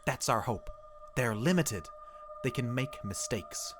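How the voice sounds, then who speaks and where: A man's voice: mid-range voice, expressive, slow pace, background noise, pitched above the performer's own voice. A man playing a paranoid, hunted husband. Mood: urgent. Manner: urgent, clipped commands.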